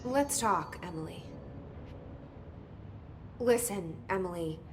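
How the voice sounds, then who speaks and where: Guarded haunted young american woman, low slow voice, halting and confiding, video game drama w faint music. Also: animated. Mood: hesitant, confessing. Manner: halting, confiding, slipping into whispered asides. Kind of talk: video game dialogue and hushed inner monologue.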